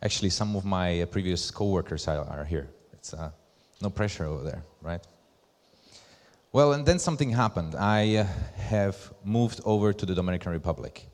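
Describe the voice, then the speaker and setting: Male presenter, Slovak accent, lower register, deliberate emphatic phrasing, live stage talk with long hall reverb. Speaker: presenter, brand ambassador. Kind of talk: conference presentation. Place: large hall at a live event.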